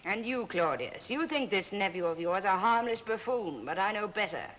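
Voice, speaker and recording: An actress playing an imperious, dying old Roman matriarch in a haughty, commanding manner, in 1930s film style. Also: female voice, medium pitch, expressive, moderate pace, fairly bright tone, natural voice. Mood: scornful, imperious. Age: older. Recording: vintage 1930s film soundtrack.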